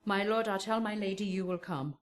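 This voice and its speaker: An actress playing a loyal, fussing nurse in a Shakespearean radio drama, with emphatic, lamenting delivery. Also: female voice, medium pitch, brisk, close to an everyday voice. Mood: urgent. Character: Juliet's Nurse, fussing, loyal, scolding woman servant.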